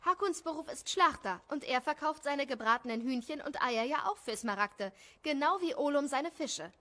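A woman's voice: high voice, lively intonation, moderate pace, sharp tone. A woman playing a bossy, demanding young wife and mother in an audio story. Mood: insistent.

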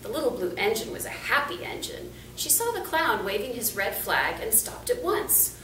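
A woman's voice: on the high side, big pitch swings, normal speed, fairly bright tone. A middle-aged woman reading a children's picture book aloud as a storyteller, indoors and a little way from the camera. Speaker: volunteer storybook reader. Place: small indoor room, seated. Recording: roomy, distant camera mic, faint noise.

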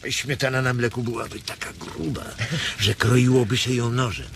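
An actor in a radio drama playing a blunt, weary ranch hand who dreams of his own farm, speaking colloquially with hesitant pauses. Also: medium pitch, fairly even intonation, creaky, natural voice. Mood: dreamy. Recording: noisy ambience behind the voice.

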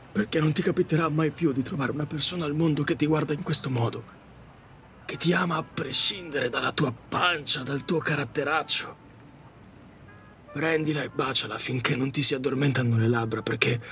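A man playing a middle-aged widowed taxi driver in an audio drama, confessing his regrets in a halting, repetitive, self-reproaching way. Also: on the high side, a bit bright, a little hiss or hum. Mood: impassioned regret. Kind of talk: Italian audio drama monologue.

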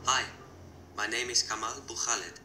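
A man voicing a plumber introducing himself in a scripted course-book dialogue, relaxed and conversational. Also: medium pitch, normal speed, slight background noise. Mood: friendly. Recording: distant, echoey audio played back in a room.

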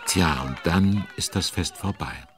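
A man narrating a children's audio drama as a genial storyteller, expressive and chatty with young listeners. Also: deep voice, unhurried, loud music behind the voice, over a noisy crowd. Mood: excited.

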